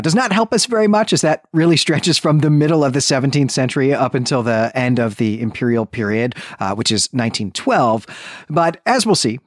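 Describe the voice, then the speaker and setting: A man's voice: mid-range voice, no echo, clean studio sound. A man co-hosting a podcast, discussing and recapping literature in an informal, discursive, conversational way. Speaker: podcast co-host.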